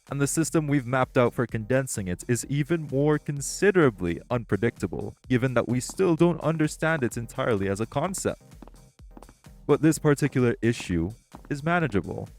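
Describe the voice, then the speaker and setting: A man playing a composed, self-assured tech company boss in an audio roleplay, speaking in a polished, measured, articulate way. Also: mid-range voice, darkish tone, lightly acted. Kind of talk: audio roleplay acting, one-sided dialogue.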